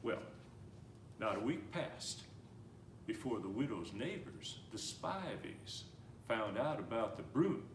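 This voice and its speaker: Man, storybook narration, expressive pitch, echoey, slightly distant mic. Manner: gentle, animated storybook reading with slowing and quickening pace. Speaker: storyteller reading a picture book. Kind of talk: read-aloud storytelling. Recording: roomy, somewhat distant mic with faint noise.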